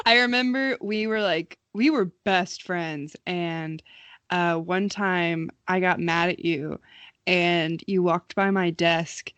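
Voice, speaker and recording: A woman's voice: lowish voice, fairly even intonation, slow, a bit bright, clean tone, dry recording. An American woman in her early twenties co-hosting a podcast, chatting casually with a playful, joking manner. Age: early 20s. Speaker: podcast co-host. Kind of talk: casual chat.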